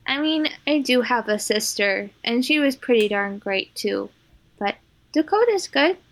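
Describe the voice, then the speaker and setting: A woman with a high voice takes part in a tabletop game. She role-plays casually and unhurriedly, with simple improvised lines. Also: animated, measured pace.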